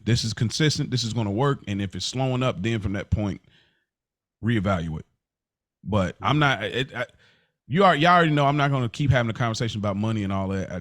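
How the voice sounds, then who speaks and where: A man's voice: lowish voice, no echo. Laid-back man, dry close sound. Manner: relaxed, rambling with restarts and repeated words. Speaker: podcast co-host. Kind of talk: casual chat.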